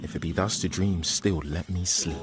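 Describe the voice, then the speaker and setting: An actor playing a bewildered young gentleman dazed by love, wondering aloud in a classical radio drama. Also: low voice, fairly even intonation, moderate pace, music in the background, lightly acted. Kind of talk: classical radio drama acting in Shakespearean English.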